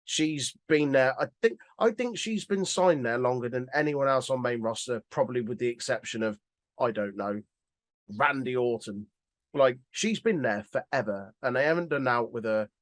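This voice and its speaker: Podcast co-host, man, mid-pitched, dry studio-close sound, rambling and opinionated, informal and sweary. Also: animated, moderate pace, dry recording. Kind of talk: casual opinionated chat.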